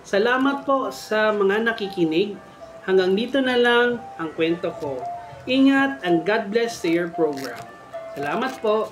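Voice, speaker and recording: A man's voice: high-pitched, lively intonation, measured pace, echoey room, poor audio. Man, storytelling host, horror story reading, faint music underneath. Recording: music bed behind the voice.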